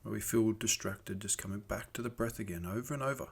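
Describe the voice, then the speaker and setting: A man with a fairly low voice guides a meditation. He speaks gently and without hurry, leaving soothing pauses between phrases as he gives each instruction. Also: vocal fry, slightly rough. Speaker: meditation teacher.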